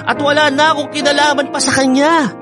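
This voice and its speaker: A man's voice: extremely high pitch, brisk. A man with a Filipino accent playing an emphatic, exasperated but affectionate boyfriend in a radio drama. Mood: exasperated, argumentative. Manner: emphatic, expressive. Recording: music under the voice.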